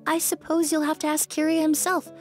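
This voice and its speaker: A woman's voice: high voice, completely flat delivery, brisk, a little mellow, close to an everyday voice. An actress playing an earnest, caring young female student in a video game drama, with music behind her. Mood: earnest, reassuring.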